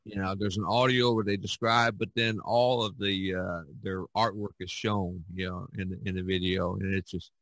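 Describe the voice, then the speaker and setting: An older man in his sixties chats as a podcast host in a fairly low voice. He speaks haltingly, circling back over phrases, in a dry, close recording. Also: level tone, fairly bright tone, dry recording, rough recording. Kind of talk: casual conversation.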